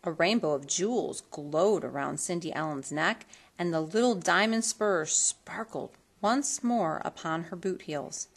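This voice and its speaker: A woman reading a children's storybook aloud in an expressive, theatrical manner that leans on colorful words. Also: on the low side, unhurried, breathy edge. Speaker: storybook reader.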